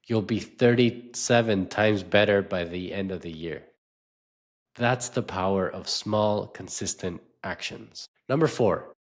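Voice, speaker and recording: Low-voiced man giving a motivational talk. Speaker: speaker, investor and coach. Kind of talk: scripted motivational talk on wealth.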